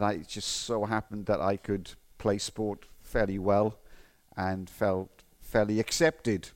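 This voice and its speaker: Welsh man preaching, conversational. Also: fairly low pitch. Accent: Welsh, faded. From Wales. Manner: conversational, with frequent restarts and fillers. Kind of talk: sermon with personal stories and Bible reading.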